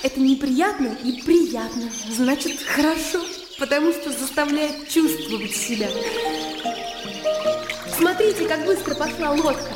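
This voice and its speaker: A lively young country gentlewoman in a radio play. Her voice is fairly high, spontaneous and expressive. It rings with echo, with birdsong and music around it. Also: unhurried. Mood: lively, delighted. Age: young adult. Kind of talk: radio drama acting.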